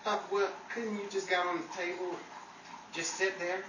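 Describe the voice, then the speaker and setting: Lower-voiced woman, echoey noisy recording. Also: little pitch movement, normal speed. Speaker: performer in a radio-style show. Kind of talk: casual chat and fumbled line reading. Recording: roomy, distant, noisy background.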